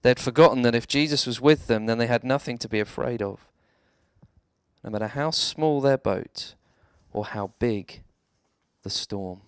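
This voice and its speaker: A man, a reverend, telling a children's bedtime story in a measured, dramatic way with deliberate pauses. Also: mid-range voice, smooth, no room sound.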